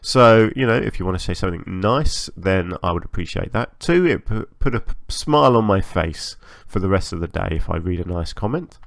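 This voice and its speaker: Low, slightly croaky man, casual game commentary. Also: lively intonation, brisk, few pauses, no room sound. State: slightly hoarse from long recording. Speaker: gaming channel host.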